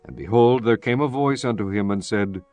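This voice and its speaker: Casting: man with a fairly low voice, solemn, measured scripted Bible narration over faint music. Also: a little mellow. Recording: music bed under the voice. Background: background music.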